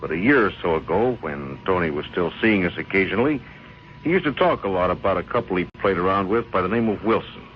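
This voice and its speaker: The calm, dependable pal in a vintage radio thriller. His deep voice is measured and placating, with something evasive about it. The old recording is muffled and lightly hissy. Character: steady, dependable male friend, calm and evasive. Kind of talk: old-time radio crime drama acting.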